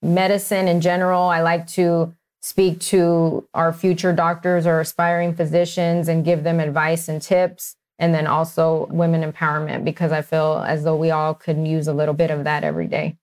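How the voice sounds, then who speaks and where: A woman from Texas speaks as a guest on an interview show. Her voice sits low and stays quite level. She talks in a measured, earnest way, sometimes restarting a phrase. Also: little pitch movement, darkish tone. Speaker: physician guest. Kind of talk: conversational interview answers.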